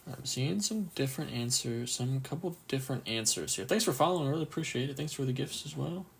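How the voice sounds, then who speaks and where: A man with a croaky, just-woken-up voice runs a casual live quiz for anatomy students, reading answer options and cheering on viewers' replies. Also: mid-range voice, animated, quick pace. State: just woke up, a bit tired. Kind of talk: casual livestream quiz review.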